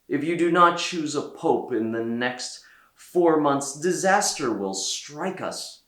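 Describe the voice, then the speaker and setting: A man's voice: fairly high pitch, expressive, slow, fairly bright tone, reverberant, pitched above the performer's own voice. A man voicing a very old, earnest and humble hermit in a children's storybook, speaking emphatically and dramatically. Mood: stern warning.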